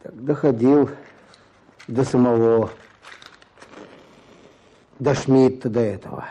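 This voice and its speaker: An actor playing a weary, stubborn peasant petitioner back from prison, speaking plaintively in halting rustic phrases in a radio drama. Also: male voice, medium pitch, dark tone. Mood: earnest recounting. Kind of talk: Russian radio drama acting.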